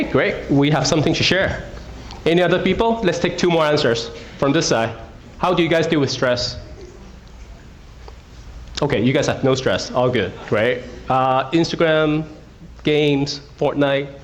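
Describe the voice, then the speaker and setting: A man's voice: fairly high pitch, unhurried. A middle-aged man from Hong Kong giving a talk at a school, often asking the audience questions and checking in with "okay". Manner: interactive, asks audience questions, frequent okays. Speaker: guest speaker. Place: school auditorium.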